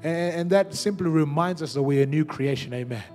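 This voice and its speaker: A man's voice: fairly high pitch, brisk, a little mellow, faint music behind. A young man preaching a sermon on a church stage into a handheld microphone, punctuating his points with repeated amens.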